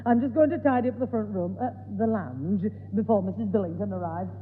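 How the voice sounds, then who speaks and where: An actress playing a brisk, house-proud, scolding mother in a 1960s radio sitcom. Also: medium pitch, natural voice. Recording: tinny hissy 1960s radio, echoey hall.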